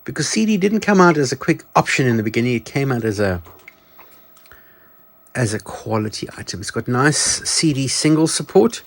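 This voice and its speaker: A man's voice: mid-range voice, expressive, normal speed. A man hosting a casual show-and-tell video, chatting and musing aloud as he demonstrates a product, often correcting himself. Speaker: video channel host.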